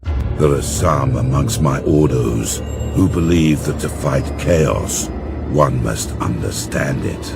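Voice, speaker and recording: A grim, fanatical Inquisitor of a far-future empire for a game cutscene. The voice is deep and gravelly, delivered slowly and with ominous solemnity. Music plays prominently behind it. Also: male voice, heavily acted. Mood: brooding, grave. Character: grim, zealous imperial Inquisitor, a man obsessed with heresy. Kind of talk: dramatic sci-fi game cutscene acting.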